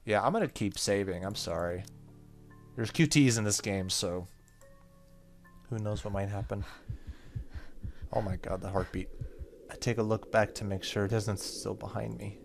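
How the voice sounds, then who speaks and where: A man's voice: fairly low pitch, normal speed, gravelly, long pauses. A man playing a horror game, reading its story text aloud dramatically and commenting casually. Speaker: gaming YouTuber. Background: game music.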